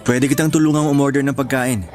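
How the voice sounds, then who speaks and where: A man's voice: medium pitch, steady tone, quick pace, darkish tone, soft background music, natural voice. Man as a charming, teasing younger suitor, radio drama. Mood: flirty. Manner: smooth, joking, flirtatious.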